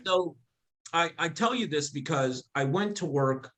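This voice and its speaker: A man guest on a podcast, telling his story in a casual, animated way, laughing often and restarting his sentences. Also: on the high side, unhurried. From Afghanistan, raised in the United States.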